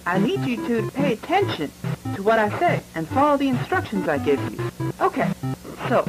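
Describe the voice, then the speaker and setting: A woman's voice: fairly high pitch, big pitch swings, fairly quick, music in the background, low-quality recording. A woman voicing a friendly cartoon farm horse girl who speaks in an emphatic, instructional way. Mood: welcoming.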